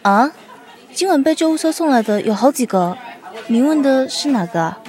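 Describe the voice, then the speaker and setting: An actress in an audio drama playing a stern but helpful hospital nurse. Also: female voice, high-pitched, animated, moderate pace, a little mellow, a faint crowd. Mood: brisk. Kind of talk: modern Mandarin audio drama acting.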